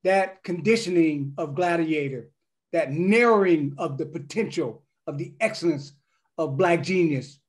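High-voiced man with a measured cadence. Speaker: panelist. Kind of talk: reflective panel discussion. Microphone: webcam on video call.

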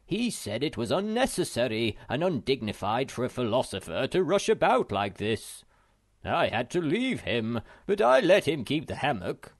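A man voicing a practical, steady troll father in a storybook, plain and deliberate. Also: mid-range voice, dry recording, studio quality. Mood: explaining.

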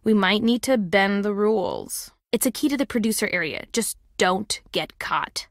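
A woman's voice: mid-range voice, expressive, normal speed, dry recording, clean studio sound, lightly acted. A woman playing a friendly, keen young sleuth's sidekick in a mystery video game. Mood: conspiratorial, helpful. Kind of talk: scripted video game voice acting.

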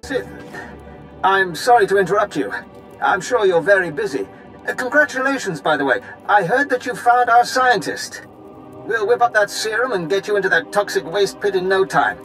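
A man's voice: sits high, lively intonation, normal speed, fairly bright tone, faint music behind, poor audio. An actor playing a wry, blustering Imperial officer and anxious father in a video game, speaking in a dramatic, emphatic manner. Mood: apologetic, worried. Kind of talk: video game character dialogue.

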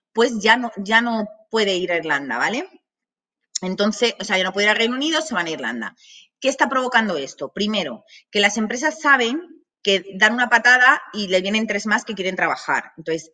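Madrid woman in her 30s teaching online, crisp bright voice, lots of "vale" asides. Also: medium pitch. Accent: Madrid Spanish. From Madrid, Spain. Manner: chatty, many fillers and asides. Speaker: language academy director. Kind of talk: online masterclass talk. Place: small office with banners.

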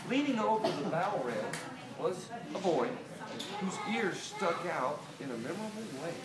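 A middle-aged American man from Delaware reads a picture book aloud to children, expressively, with deliberate pauses and questions to his listeners. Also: sits high, big pitch swings, over a noisy crowd. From Delaware, United States. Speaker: children's author. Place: small toy shop, indoors. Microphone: camera a few meters away. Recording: roomy, distant camera pickup, loud room noise.

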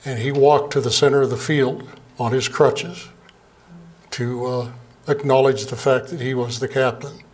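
An older man, an Air Force veteran, giving a plain, halting slide presentation from a lectern in a small museum meeting room, heard from a camera across the room. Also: medium pitch, steady tone, a little mellow. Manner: plain, unhurried, halting with fillers. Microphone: far camera, speaking at a lectern.

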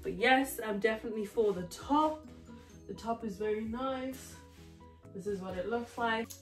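A woman's voice: a little high, moderate pace, faint music behind. A young woman, not a native English speaker, chatting animatedly in a home try-on haul vlog and calling her viewers guys. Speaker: fashion vlogger. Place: home living room, indoors.

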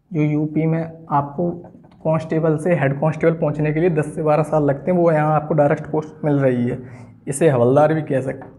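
A young man gives a whiteboard-style lesson in a soft-edged, mellow voice. His pitch sits a little high for a man. He explains things step by step and often repeats his points. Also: creaky. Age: 20s. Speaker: exam-coaching teacher. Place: small indoor room at a whiteboard.

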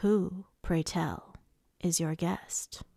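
Menacing immortal male High Lord, played by a woman narrator, taunting and smooth, unhurried, dry storybook read. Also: fairly even intonation, mellow, no echo, heavily acted. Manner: taunting, purring, casually cruel.

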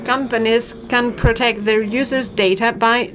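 A woman interpreting a startup pitch in real time, talking fast and steadily with occasional fillers. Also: fairly even intonation. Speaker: simultaneous interpreter. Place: conference auditorium. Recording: auditorium feed with crowd and noise.

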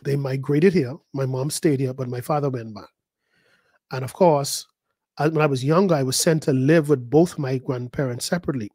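A man, a pastor preaching a sermon, emphatic and repeating words, his pitch jumping high at key points. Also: moderate pace, warm tone, husky, no room sound. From the Bahamas. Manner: repeats words and acts out quoted voices.

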